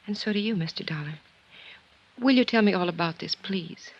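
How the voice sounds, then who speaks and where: An actress playing a composed, quietly intense woman of twenty-one with a husky voice, in a 1950s radio drama. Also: mid-range voice, brisk, lightly acted. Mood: wounded, searching. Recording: dull old 1950s radio recording.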